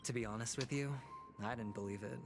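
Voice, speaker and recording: An actor playing a smug, amused young gambler and schemer with a breezy, theatrical manner in a video game. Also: male voice, medium pitch, fairly quick, natural voice. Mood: wry amusement. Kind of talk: dramatic video game acting. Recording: music behind the voice.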